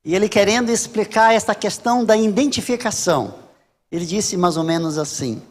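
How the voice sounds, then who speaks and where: A Brazilian man preaching a sermon as a Baptist pastor, emphatic and repetitive, with deliberate pauses. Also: high-pitched, big-room sound. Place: large church hall during a service.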